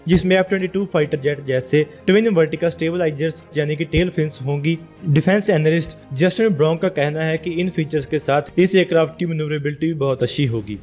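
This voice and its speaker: Brief: Punjabi-accented male narrator for a defence explainer, steady and informative, a touch high-pitched. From India.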